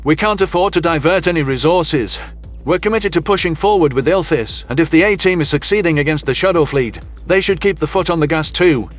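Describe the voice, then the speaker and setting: A man's voice: fairly high pitch, a little fast, faint music behind, natural voice. A man playing a hard-nosed, pragmatic human military officer in a sci-fi audio drama, with a firm, blunt manner.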